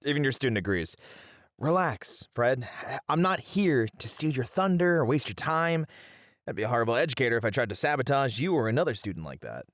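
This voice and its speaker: A man's voice: mid-range voice, sing-song, fairly quick, a bit bright, no room sound, clean studio sound. A man acting in an ASMR roleplay as a playful educator with theatrical flair, bantering and flirty in his delivery. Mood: teasing, playful.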